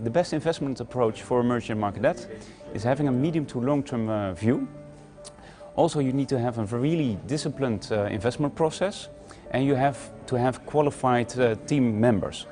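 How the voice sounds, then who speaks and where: A man talking about emerging market investing in a corporate interview, steady, with frequent "uh" pauses between phrases. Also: medium pitch. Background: faint music.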